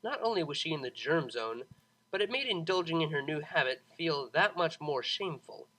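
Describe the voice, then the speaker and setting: A man reading a scripted story as an expressive audiobook narrator, with a dramatic, wry delivery. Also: on the high side, moderate pace, rough recording. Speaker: narrator, fan fiction audiobook reader.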